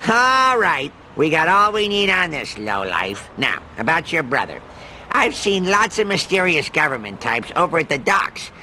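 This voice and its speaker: Blustery, nasal cartoon police chief. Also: male voice, fairly high pitch, big pitch swings, moderate pace, sharp tone, a little hiss or hum, full character voice. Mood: pompous, then offhand. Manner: blustery, whiny, self-important. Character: dim, lazy, doughnut-craving middle-aged male police chief. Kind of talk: cartoon game acting.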